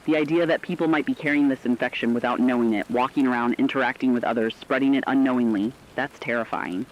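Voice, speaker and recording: A man's voice: medium pitch, fairly even intonation, fast, dull audio, natural voice. A man playing a concerned, empathetic radio co-anchor, giving scripted commentary in an earnest, sombre, reflective way. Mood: troubled, earnest. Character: concerned, empathetic male radio co-anchor dwelling on human suffering. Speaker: news co-anchor.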